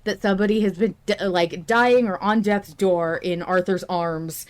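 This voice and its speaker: A woman hosting a casual fan discussion of an audio drama, talking in an animated way and repeating phrases for emphasis. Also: medium pitch, moderate pace. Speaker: podcast host.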